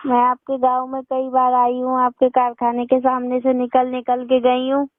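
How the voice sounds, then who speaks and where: A tinny phone recording carries a young woman's high voice. Her intonation stays flat and even. She is insistent and unflustered in a personal conversation. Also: normal speed, a little hiss or hum. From northern India. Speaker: phone caller. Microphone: telephone.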